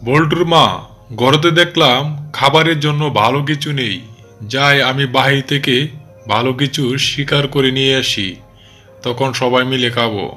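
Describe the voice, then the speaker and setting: A man's voice: mid-range voice, steady tone, moderate pace, pushed and bright, soft background music, close to an everyday voice. A man voicing a boastful, sly father fox who dotes on his son in a cartoon. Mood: reassuring. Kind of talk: animated storybook cartoon dialogue.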